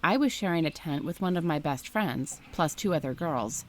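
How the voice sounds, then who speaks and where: A woman reading a first-person story aloud in a steady, scripted narration style. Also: low voice, moderate pace, a little hiss or hum.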